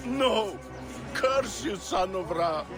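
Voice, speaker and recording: An actor playing a pompous, hungover Greek drunkard who groans and complains floridly in a video game. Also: male voice, high-pitched, expressive, slow, over music, exaggerated voice. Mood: irritable. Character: hungover, pompous, theatrical drunkard Greek man, grumbling wit.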